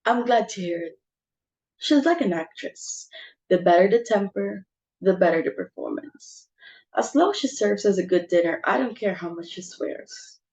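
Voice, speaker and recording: A woman's voice: medium pitch, very animated, moderate pace, darkish tone, low-quality recording. Woman acting an imperious, fussy society hostess. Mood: fussy, commanding. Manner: theatrical, exclaiming, bossy. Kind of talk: amateur dramatic reading of a stage comedy.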